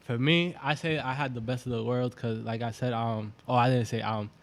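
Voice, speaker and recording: A man's voice: mid-range voice, normal speed. Laid-back young man, rambling casually. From Boston, USA (born in Venezuela). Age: 20s. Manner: relaxed, rambling, many fillers. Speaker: guest, clothing brand founder. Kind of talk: casual interview chat.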